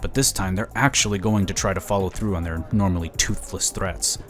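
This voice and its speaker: An actor playing a slick, smug lobbyist in a sci-fi audio drama, chatty and condescending. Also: male voice, sits low, fairly even intonation, fairly quick, faint music behind, natural voice. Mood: confiding, scheming. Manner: chatty, smooth-talking, condescending. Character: smug, slick, scheming male political lobbyist.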